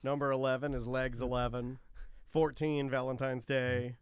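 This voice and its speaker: A man's voice: mid-range voice, level tone, moderate pace, clear, resonant tone, no room sound, studio quality. A man co-hosting a talk show, trading casual banter with wry, teasing remarks.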